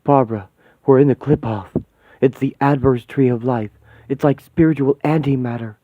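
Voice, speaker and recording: Man as a quick-talking young woman mage, dry phone-line sound. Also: medium pitch, dry recording, natural voice. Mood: uneasy. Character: young woman versed in magic, earnest.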